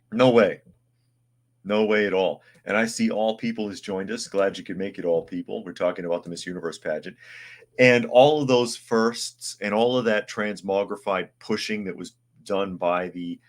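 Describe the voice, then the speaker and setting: Deep-voiced sarcastic man hosting a podcast. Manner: sarcastic, opinionated running commentary; reads articles aloud and answers chat comments. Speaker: podcast host. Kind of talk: live news commentary podcast.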